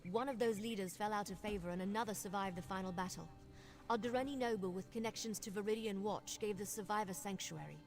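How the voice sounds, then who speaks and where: A woman's voice: medium pitch, fast talker, hardly any pauses, natural voice. Woman playing a wry, confident vampire investigator. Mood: matter-of-fact. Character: brisk, wry young female vampire investigator in fantasy game. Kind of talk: video game character dialogue.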